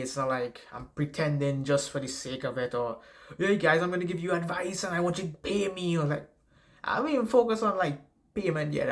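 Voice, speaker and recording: Rambling young Trinidadian man giving advice. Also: mid-range voice. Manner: rambling, profane, frequent "right?" tags. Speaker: self-help vlogger. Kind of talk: casual advice monologue to camera.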